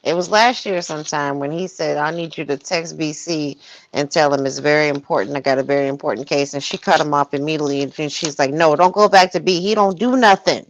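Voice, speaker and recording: A woman's voice: low voice, brisk, dull audio, a little hiss or hum. A woman chatting casually as a panelist on a livestream. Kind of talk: casual chat. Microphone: video-call livestream.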